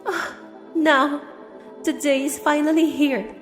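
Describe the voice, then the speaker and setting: High-voiced woman narrating dramatically in reverb. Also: steady tone, takes it slowly. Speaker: story narrator. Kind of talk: dramatic first-person scripted narration. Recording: long reverb, music bed. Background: music.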